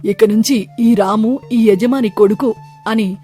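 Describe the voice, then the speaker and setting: A woman's voice: a little high, unhurried, warm tone, soft background music, natural voice. Woman voicing a proud, commanding village landlord. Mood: remorseful, proclaiming. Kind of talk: storybook character acting.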